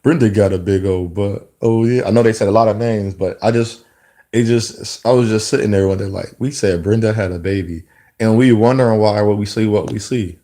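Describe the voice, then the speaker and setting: Low, mellow-voiced man musing aloud. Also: brisk. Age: 30s. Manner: rambling, reflective, with many restarts. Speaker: vlogger. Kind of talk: casual spoken monologue.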